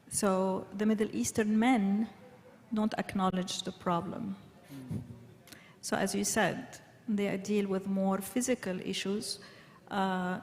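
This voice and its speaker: A woman's voice: medium pitch, a little mellow. Lebanese woman panelist in a reverberant hall. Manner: hesitant, many fillers and restarts. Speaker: panelist, wellness coach. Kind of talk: conference panel discussion.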